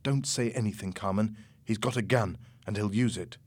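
A man voicing a decent, anxious captive pilot in an audiobook story, speaking quietly and earnestly. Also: mid-range voice, moderate pace, husky, no echo, natural voice. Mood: hushed, warning. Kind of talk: audiobook character acting.

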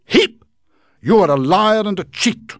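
A man voicing a proud, pompous gentleman in a storybook reading, speaking loudly, formally and declamatorily. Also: medium pitch, very animated, unhurried, dry recording, clean studio sound, voice pushed up. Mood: defiant. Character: proud, pompous middle-aged gentleman clerk.

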